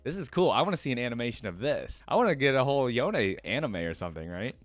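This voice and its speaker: A man's voice: mid-range voice, big pitch swings, fast talker, dry recording. A man hosting a reaction video, reading a fantasy story aloud and breaking off for casual comments.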